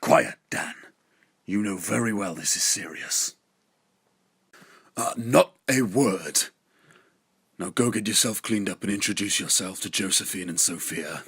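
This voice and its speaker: A man plays an authoritative, courteous king with a family to manage. The voice is low and husky, in a dry, close audio drama recording. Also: a little slow, pauses often, a bit bright, dry recording, exaggerated voice. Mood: stern. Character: Arion, authoritative, courteous king and weary father. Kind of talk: scripted audio drama acting.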